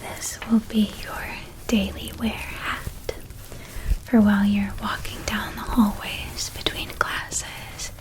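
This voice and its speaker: A gentle, attentive shopkeeper at a witch school , played by a woman in an ASMR roleplay. She whispers softly and breathily at a very slow, soothing pace. Her pitch sits in an ordinary middle range. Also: level tone, lightly acted, rough recording.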